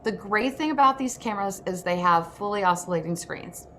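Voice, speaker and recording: A woman's voice: mid-range voice, expressive, brisk, bright, forceful tone. A woman hosting a casual workshop, presenting in an informal, chatty and emphatic way from an indoor room. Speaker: host and photographer. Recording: noticeable background noise.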